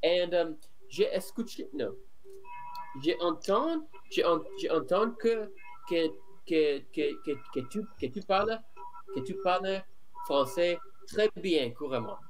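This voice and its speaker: Slow, halting male language learner. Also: high voice, lively intonation, soft background music. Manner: halting, repeats words while searching. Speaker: beginner French learner. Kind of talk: online lesson student. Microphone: video call.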